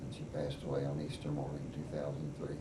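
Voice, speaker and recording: An older man with a West Virginian accent, telling his life story in an oral history interview in an unhurried, halting way with many pauses. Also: very deep voice, monotone. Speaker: veteran interviewee. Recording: echoey room, mic at a distance, noisy.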